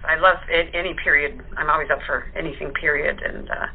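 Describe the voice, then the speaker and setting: A woman guest chats casually in an interview. Her voice is lowish and husky, with little polish to it. It comes through narrow and tinny, as over a telephone. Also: steady tone, fast. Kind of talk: casual chat. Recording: tinny phone-line sound.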